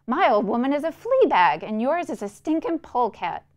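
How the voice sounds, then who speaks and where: A woman voicing an angry runaway country boy who shouts insults. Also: sits high, sing-song, normal speed, voice pushed up.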